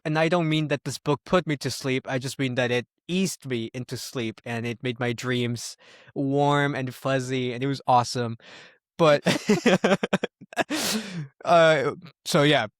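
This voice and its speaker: A man with an average-pitched voice speaks informally as a co-host in a book discussion. His delivery is conversational and unscripted. The recording is dry, without room echo. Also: measured pace. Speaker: podcast co-host reviewing books. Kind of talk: casual discussion.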